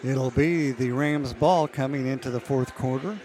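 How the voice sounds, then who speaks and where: A man calling a women's college basketball game play-by-play, losing his voice and clearing his throat now and then. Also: medium pitch. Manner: steady, measured calls that rise on big plays. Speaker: play-by-play announcer.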